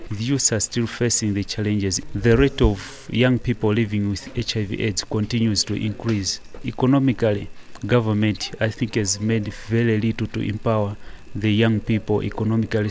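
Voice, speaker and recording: A man's voice: lowish voice, a little mellow. A young man with a Malawian accent, giving an interview statement as a youth delegate.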